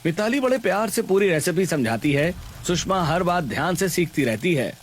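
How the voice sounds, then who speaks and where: A man's voice: high voice, animated, moderate pace, faint music behind. A man giving scripted narration for a family story in storybook style.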